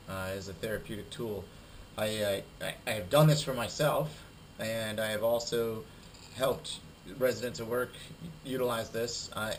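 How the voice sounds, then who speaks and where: A young adult man with a cold giving a recorded class presentation, halting and full of fillers and repeated words. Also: fairly low pitch, fairly bright tone, a little hiss or hum, rough recording. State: has a cold, losing his voice. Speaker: student presenter.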